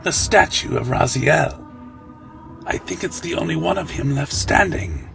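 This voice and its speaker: A man playing a sly, probing vampire in an audio drama, deliberate and insinuating. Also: on the high side, sing-song, gravelly, a bit bright, full character voice. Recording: dramatic music behind.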